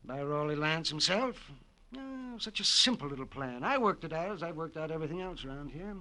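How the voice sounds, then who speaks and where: A man's voice: a little high, animated, brisk, sharp tone. An actor playing a precise, condescending older male schemer in an old radio crime drama. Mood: cold, smug. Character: scheming older male villain, coolly confessing at gunpoint. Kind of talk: 1940s-50s radio drama acting. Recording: old radio broadcast recording.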